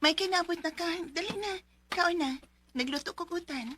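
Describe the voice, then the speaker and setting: An actress with a Filipino Bisaya accent playing a caring but nagging young wife in a radio drama. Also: female voice, very high voice, moderate pace, fairly bright tone. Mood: coaxing. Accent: Filipino, Cebuano Bisaya.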